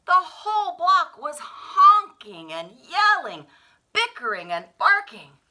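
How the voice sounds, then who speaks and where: A woman's voice: extremely high pitch, very animated, slow, bright, forceful tone. A woman reading a children's picture book aloud in a theatrical way, drawing out the refrains. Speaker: children's story reader at a library.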